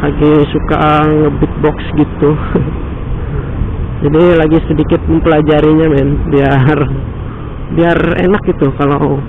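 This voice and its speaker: A man's voice: a little high, little pitch movement. A man with a Sundanese-flavoured Indonesian accent giving slangy, casual running commentary while riding a motorcycle, recorded on a helmet action camera. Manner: chatty, slangy, quick exclamations. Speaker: motovlogger. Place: outdoors on a moving motorcycle in traffic. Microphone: helmet-mounted action camera. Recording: tinny lo-fi with loud road and wind noise. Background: traffic and engine noise.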